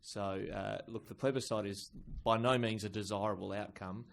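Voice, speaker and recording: Male political panellist, low and flat in tone, formal, measured argument, live conference setting. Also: creaky, slight background noise. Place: indoor conference session.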